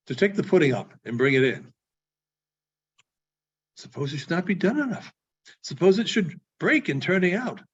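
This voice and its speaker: A man reading a classic story aloud in a theatrical, deliberate manner with dramatic pauses. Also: high-pitched, husky. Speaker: librarian giving a public reading. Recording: dull, muffled lo-fi recording.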